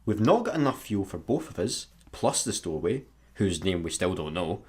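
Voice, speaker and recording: A man acts a sarcastic male satellite worker in a sci-fi radio comedy. He has a Scottish accent and a husky, rough-edged voice. His delivery is grumbling and very animated in pitch. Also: normal speed, heavily acted. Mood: scheming. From Scotland.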